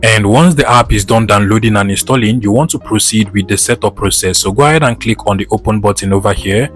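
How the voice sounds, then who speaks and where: Male tutorial presenter, lowish voice, instructional step-by-step delivery over background music. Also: quick pace, a bit bright. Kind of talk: scripted step-by-step tutorial narration.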